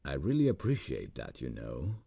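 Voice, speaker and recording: A man playing a gravelly lovesick boss. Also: wildly swooping pitch, dry recording, exaggerated voice. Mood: tender. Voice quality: deep gravelly voice. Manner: soft, intimate, slow and earnest. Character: lovesick male boss, gentle and earnest. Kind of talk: ASMR roleplay audio.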